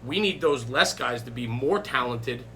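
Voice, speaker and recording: A man's voice: mid-range voice, expressive, moderate pace, bright, forceful tone, a little hiss or hum. A man hosting a podcast in casual, emphatic conversation, often checking in with his listeners. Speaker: podcast host. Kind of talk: casual chat.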